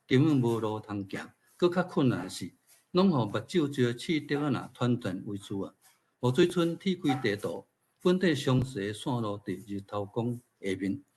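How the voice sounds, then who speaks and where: Male writer, Taiwanese accent, husky voice, reads his own prose at a literary talk. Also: mid-range voice, darkish tone. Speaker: featured speaker, writer. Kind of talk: reading own fiction aloud with informal remarks.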